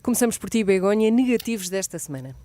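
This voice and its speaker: A woman hosting a talk show, brisk and moderating the panel. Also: medium pitch, lively intonation, dark tone, no echo. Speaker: host.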